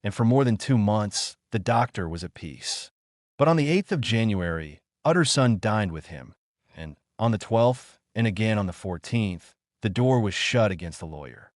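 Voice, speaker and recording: A man's voice: lowish voice, animated, normal speed, dry recording, clean studio sound. A man reading a scripted noir audiobook as a dramatic narrator.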